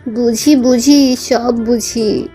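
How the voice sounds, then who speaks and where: A woman's voice: on the high side, fairly even intonation, extremely slow, dark tone, soft background music, poor audio. A woman playing a caring, teasing older-sister figure in a romantic audio story, speaking in a familiar way.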